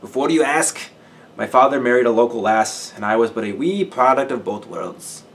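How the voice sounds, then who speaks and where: A man's voice: lowish voice, lively intonation, quick pace, a bit bright. A man voicing a cocky male special forces operator raised in Wales, speaking bluntly and matter-of-factly. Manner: blunt, matter-of-fact telling.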